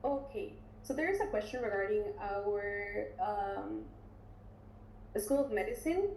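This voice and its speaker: A woman with a mellow, soft-edged voice, talking through a webinar as a recruitment officer. She keeps it loose and conversational, with plenty of ums and restarts. Her computer mic picks up a roomy echo and faint background noise. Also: mid-range voice, measured pace. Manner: relaxed, chatty, many fillers and restarts. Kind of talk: webinar presentation and Q&A.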